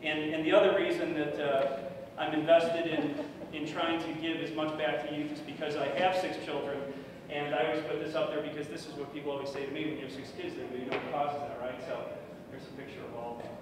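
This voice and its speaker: A middle-aged man giving a casual conference talk, loud and chatty with many fillers. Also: a little high, level tone, a little fast, few pauses. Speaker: conference speaker. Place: large conference room with projector. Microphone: podium microphone from far off. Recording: echoey room, distant mic, noisy.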